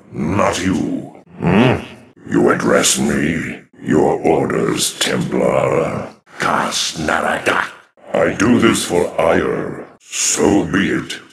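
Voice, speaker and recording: Casting: bitter alien dark warrior, gravelly, bottomless pitch, solemn and scornful, for a sci-fi game. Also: male voice, full character voice. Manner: grave, disdainful. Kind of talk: video game unit barks.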